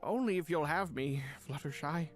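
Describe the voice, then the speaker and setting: An actor playing a theatrical, good-hearted male chaos creature, earnest and expressive, in cartoon drama style. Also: medium pitch, normal speed, faint music behind, natural voice. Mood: sincere. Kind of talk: animated fan audio drama acting.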